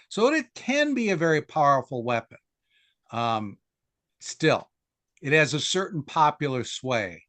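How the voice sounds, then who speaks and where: A man's voice: mid-range voice, a bit bright, no room sound. An older man with an American accent, speaking as a historian guest in an interview and thinking aloud in a discursive way. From United States.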